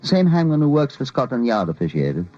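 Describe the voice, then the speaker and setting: An actor playing a stern, methodical police detective, speaking formally and deliberately in a 1950s radio drama. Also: male voice, mid-range voice, big pitch swings, natural voice. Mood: grave. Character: stern, methodical male Scotland Yard detective narrator. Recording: muffled vintage radio drama recording.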